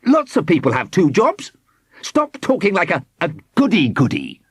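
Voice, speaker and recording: A man's voice: sits high, huge pitch swings, moderate pace, husky, no echo, clean studio sound, pitched above the performer's own voice, exaggerated voice. A man voicing a cocky, wicked young boy in a storybook, boastful and sneering.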